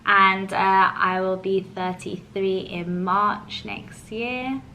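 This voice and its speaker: A woman talks casually and chattily, full of fillers and quick laughs. Her voice is bright and forward. A faint hum of noise sits behind her. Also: mid-range voice, level tone, rough recording. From England. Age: 30s. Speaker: vlogger. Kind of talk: casual Q&A chat.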